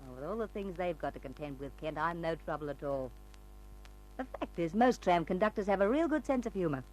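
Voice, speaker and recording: A woman's voice: fairly low pitch, animated, brisk, a little mellow, close to an everyday voice, low-quality recording. Brash, theatrical eccentric woman played by an actress, swooping voice. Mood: amused, confiding. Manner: slangy, bold, theatrical. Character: brash eccentric older woman, street character secretly shrewd and refined. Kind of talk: old-time radio drama acting. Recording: old radio recording with music.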